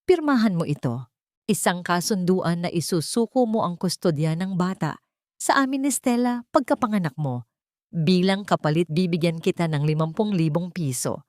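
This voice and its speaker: A woman's voice: lowish voice, big pitch swings, normal speed, darkish tone, dry recording, clean studio sound. A woman voicing a callous, impatient rich husband in an audio story, blunt and condescending. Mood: cold, demanding.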